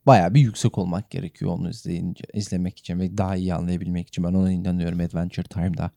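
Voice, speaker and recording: Man, gravelly fry, dry studio-like sound, relaxed casual podcast chat. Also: low voice, fast, no room sound. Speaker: podcast co-host.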